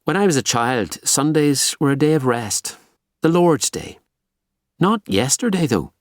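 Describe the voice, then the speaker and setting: Man voicing a frank Belfast policewoman, pitched higher. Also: sing-song, unhurried, dry recording, clean studio sound. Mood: dry teasing. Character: young female detective constable, earnest and frank.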